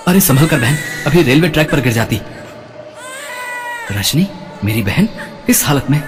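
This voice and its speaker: A man voicing a protective, stern older brother in an animated melodrama, speaking emphatically and emotionally. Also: fairly high pitch, normal speed, big-room sound, over music, close to an everyday voice. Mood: shocked. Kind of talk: melodramatic animated story acting.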